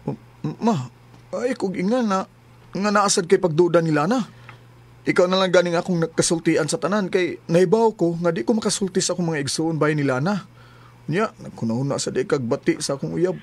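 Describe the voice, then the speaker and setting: High-voiced young Cebuano boyfriend, played by a man. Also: a little fast, natural voice. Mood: confiding. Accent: Cebuano Filipino. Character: hurt, trusting young boyfriend, pleading and indignant. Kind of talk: radio drama acting. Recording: radio drama over music bed.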